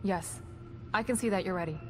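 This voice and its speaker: Woman acting composed game psychologist, mid-pitched voice. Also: fairly quick, close to an everyday voice. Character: composed, caring, brave female team psychologist. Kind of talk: dramatic video game acting. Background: music.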